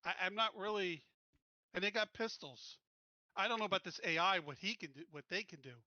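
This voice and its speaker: A man's voice: high-pitched, animated, brisk, bright, forceful tone, no echo, muffled recording. A man chatting casually as a player in a tabletop game, blunt and insistent, often repeating himself.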